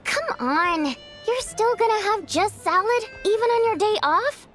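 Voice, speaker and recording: A very high, animated young woman's voice, playful and pushy. She teases theatrically, with lively swoops in pitch and music playing behind her. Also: unhurried, exaggerated voice. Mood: insistent. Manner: teasing, theatrical. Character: playful, pushy, bubbly young female performer. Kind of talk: video game cutscene acting.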